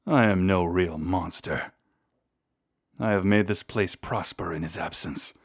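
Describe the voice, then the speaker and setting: A man voicing a proud, seductive vampire lord in a scripted audio roleplay, speaking in a theatrical, deliberate and intimate way. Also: sits low. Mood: indignant, commanding. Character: seductive, menacing vampire lord, proud and secretly tender toward lost love.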